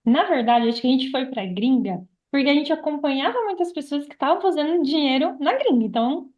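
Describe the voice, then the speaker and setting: Female podcast guest, Brazilian, high-pitched, casual conversation, somewhat lo-fi sound. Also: animated, fairly quick, darkish tone. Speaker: podcast guest, affiliate marketer.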